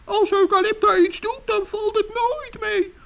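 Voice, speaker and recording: Male forest gnome, very high pitch, gravelly, stammering, fretful, vintage radio play. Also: steady tone, a little slow, dull audio, a little hiss or hum, heavily acted. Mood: worried. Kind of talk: 1960s children's radio play.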